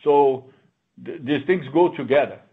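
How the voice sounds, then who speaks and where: Man on a tinny phone line, halting executive. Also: on the high side, slightly rough. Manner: halting, repeats words, emphatic and assured. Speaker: company executive answering analysts. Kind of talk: unscripted earnings call answers. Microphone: conference call line.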